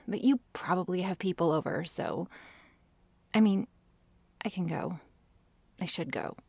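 Casting: woman as defensive, secretly shy vampire girl for ASMR roleplay; lowish, unhurried delivery, sighs and near-whispers. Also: creaky, darkish tone, husky, dry recording, heavily acted. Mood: flustered. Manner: hesitant, sighing, defensive, often drops to a whisper. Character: tsundere vampire girl, prickly, sarcastic, secretly shy and sweet. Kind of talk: ASMR roleplay addressed to the listener.

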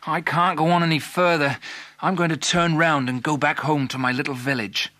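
A man's voice: fairly high pitch, steady tone, moderate pace, bright, forceful tone, dry recording, clean studio sound. A man voicing an earnest, hopeful young lad in a children's story, polite and eager. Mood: weary.

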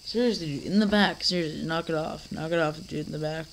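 A man's voice: a little high, fast, vocal fry, fairly bright tone, a little hiss or hum. A teenage boy coming down with a cold, rambling casually through a home vlog with lots of fillers and restarts. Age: teens. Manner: mock-scolds an imaginary heckler. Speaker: vlogger. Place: dim living room, seated in a recliner.

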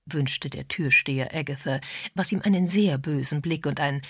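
Audiobook narrator: woman, low register, dry studio-like sound. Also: moderate pace, fairly bright tone, husky, dry recording. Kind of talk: scripted literary narration with acted dialogue.

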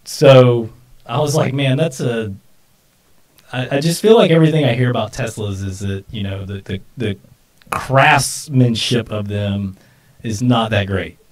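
Guy early 40s chatting off the cuff on a livestream, normal pitch, bit far from the mic. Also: a little slow. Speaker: live stream co-host. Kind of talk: casual off-the-cuff live stream chat. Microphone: desk microphone.

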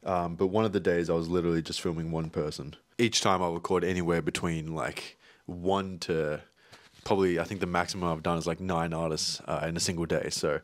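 A man's voice: low voice, fairly even intonation, brisk, dry recording. A young man vlogging casually about his video work, rambling with lots of fillers and restarts, bothered by hay fever. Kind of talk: casual vlog talk.

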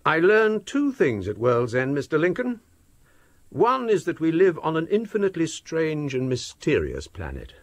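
An actor playing a wry, scholarly middle-aged academic, speaking in measured phrases in a radio drama. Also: male voice, on the high side, wildly swooping pitch, dry recording.

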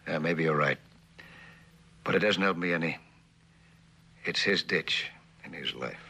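A middle-aged man playing a guilty, conscientious company man in a 1960s television drama, with a halting, understated delivery. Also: low voice, vocal fry, pushed and bright, breathy edge, full character voice. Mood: resigned. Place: indoor living room set.

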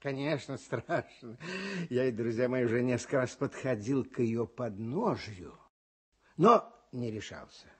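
A man acting as a kindly, playfully earnest mentor hosting a children's radio comedy, theatrical and expressive. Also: mid-range voice, a little slow, breathy edge. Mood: confiding, hesitant. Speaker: host of a children's radio show.

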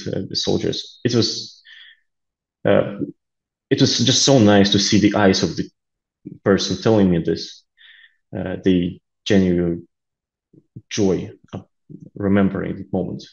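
A man's voice: low voice, gravelly, a little mellow, highs cut off. A young man with a Latvian Russian accent, speaking earnestly on a video call interview, with many ums and uhs. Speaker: interview guest, aid volunteer. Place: small room indoors. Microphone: laptop camera video call.

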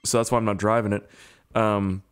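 A young man with a hoarse, strained voice giving casual, profane, self-mocking commentary while playing a game. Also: lowish voice, level tone, moderate pace. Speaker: gaming YouTuber. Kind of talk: casual let's-play commentary.

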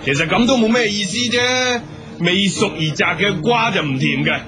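A man's voice: high-pitched, animated, normal speed. A man acting a proud, confident young champion horseman in a radio drama, with a Hong Kong Cantonese accent. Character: proud young male Mongolian champion horseman and royal guard.

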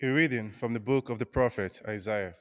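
A man's voice: medium pitch, a little fast. A young man reading scripture steadily at a lectern microphone in a large church. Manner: steady, careful reading aloud. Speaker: lector. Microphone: mic on a stand at the lectern. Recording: long church reverb.

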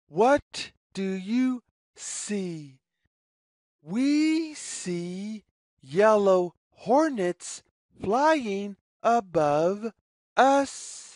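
Very slow, lively woman reading to children. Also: mid-range voice, dry recording, clean studio sound. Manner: deliberate, sing-song, pausing between phrases. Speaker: storyteller reading aloud to children. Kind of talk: slow read-aloud of a children's picture book.

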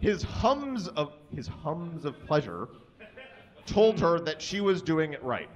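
A man in his thirties giving a comic live reading of fan fiction on a small stage, deliberately tripping over the mistakes in the text. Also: on the high side, sing-song. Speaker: live reader. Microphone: stand microphone on stage, filmed from a distance. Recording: dull, muffled live stage recording.

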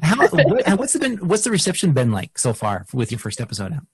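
A man hosts a casual interview podcast in an informal, laid-back way. His voice is rough and husky, with pitch that moves around a lot. It is recorded very dry and close. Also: wildly swooping pitch, brisk, dry recording. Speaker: podcast host.

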